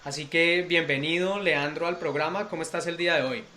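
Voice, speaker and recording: Higher-voiced man hosting a podcast. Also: fairly quick, bright, forceful tone, slight background noise. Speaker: podcast host and interviewer. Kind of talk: scripted introduction, then conversational interviewing.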